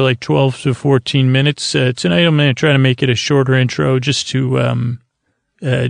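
Creaky, meandering man hosting a bedtime podcast. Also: medium pitch, dry recording, studio quality. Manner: meandering, long-winded, halting; gentle, friendly, lulling. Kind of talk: rambling soothing bedtime monologue.

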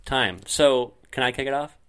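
A man's voice: medium pitch, normal speed, fairly bright tone, clean tone. A man co-hosting a podcast in casual chat, explaining points at length with frequent ums. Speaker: podcast co-host.

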